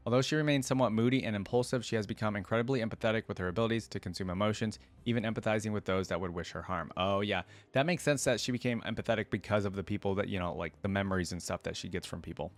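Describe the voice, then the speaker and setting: A man's voice: fairly low pitch, racing, hardly any pauses. A man hosting a reaction video, reading aloud and adding casual off-the-cuff commentary. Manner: casual, interjects brief reactions while reading.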